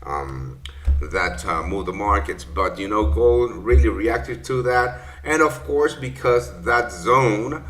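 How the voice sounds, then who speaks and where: Bright-voiced man. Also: on the low side, expressive, slow. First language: Spanish. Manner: rambling, many fillers and self-corrections. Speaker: trading session host. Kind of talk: casual live-stream market commentary.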